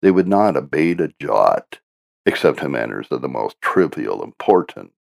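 A man reading a history book aloud as a narrator, in a solemn, deliberate and formal manner. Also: a bass voice, vocal fry, no room sound, studio quality. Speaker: audiobook narrator.

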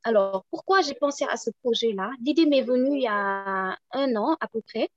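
Senegalese woman speaking french, bit high, slow earnest pleading, flat dull audio. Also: no echo. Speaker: guest, project founder.

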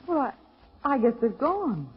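A woman's voice: high voice, very animated, a little slow, slight background noise. An actress playing a spirited, wry and slightly nervous lady companion in a 1940s radio drama, with theatrical delivery. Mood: nervous, astonished. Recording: muffled 1940s radio recording.

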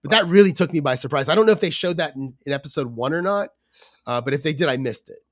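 A man with a somewhat high voice made scratchy by a cold talks in loose, rambling podcast chat, full of fillers and restarts. The sound is dry and close, with no room around it. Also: expressive, fast talker, fairly bright tone, dry recording. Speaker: podcast co-host. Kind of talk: casual chat.

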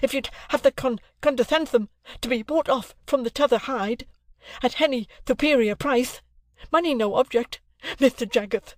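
A man voicing an excitable, pleading male supplicant with a heavy lisp in an audiobook. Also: extremely high pitch, moderate pace, few pauses, dry recording, pitched above the performer's own voice. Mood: frantic pleading.

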